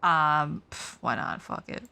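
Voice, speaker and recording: A woman's voice: low-pitched, slow, gravelly, pushed and bright, clear, resonant tone. A woman live-streaming a video game with casual, slangy and sardonic commentary.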